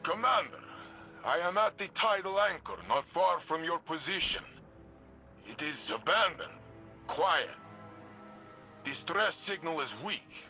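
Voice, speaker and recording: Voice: mid-range voice, expressive, a little slow, pauses often, bright, forceful tone, soft background music. An actor playing a fierce, blunt warrior soldier in a video game, speaking in intense, formal, clipped statements. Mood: alert, terse. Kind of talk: dramatic video game voice acting.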